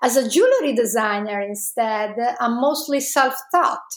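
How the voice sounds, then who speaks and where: Woman, Italian accent, fairly high voice, halting delivery, roomy echo. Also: lively intonation. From Italy. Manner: hesitant, many fillers. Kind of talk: interview answers.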